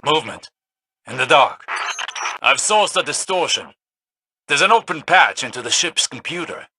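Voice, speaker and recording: Bright-voiced, grave male space advisor. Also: fairly high pitch, animated, breathy edge, exaggerated voice. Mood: grave, intent. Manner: measured, formal, cryptic. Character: calm, grave male space mission advisor, scholarly and wary. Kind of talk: video game dramatic voice acting.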